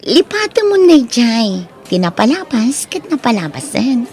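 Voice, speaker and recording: A woman's voice: on the high side, sing-song, a little slow, a little mellow. A woman playing a humble, forgiving young woman and dutiful daughter in an Ilocano audio drama. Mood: forgiving, calm.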